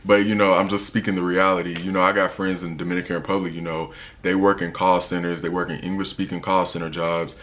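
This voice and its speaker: A young man with a deep voice, talking casually in a travel vlog with a laid-back, slangy manner and lots of fillers. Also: level tone, quick pace, a bit bright. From United States. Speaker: travel vlogger.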